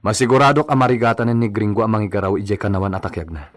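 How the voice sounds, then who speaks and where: A man's voice: on the low side, steady tone, racing, natural voice. A man with an Ilocano accent playing a blunt, commanding son in a family radio drama. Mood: grave reporting.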